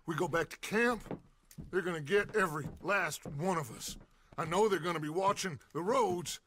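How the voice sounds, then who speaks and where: A man's voice: high-pitched, moderate pace, fairly bright tone, slight background noise. A man playing a weary, middle-aged American outlaw leader in a Western game, with a theatrical, commanding way of talking. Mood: planning, urgent. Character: weary charismatic middle-aged male Wild West outlaw gang leader. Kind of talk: dramatic video game acting. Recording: music behind the voice.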